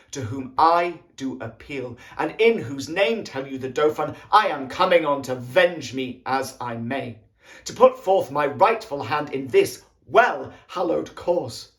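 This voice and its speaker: Man acting a proud, declaiming young warrior king. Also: mid-range voice. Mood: solemn menace. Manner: declamatory, deliberate, emphatic. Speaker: actor performing an audition monologue. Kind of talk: Shakespearean stage monologue.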